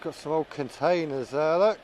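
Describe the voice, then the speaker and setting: Higher-voiced man thinking aloud, noisy outdoors. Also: moderate pace. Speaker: vlogger and drone pilot. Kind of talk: casual running commentary while flying a drone.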